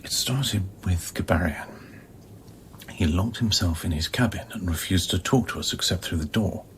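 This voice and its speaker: An actor playing a wry, probing, world-weary scientist in a radio play, speaking in a dry, measured, confiding way. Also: male voice, deep voice, a little hiss or hum, lightly acted.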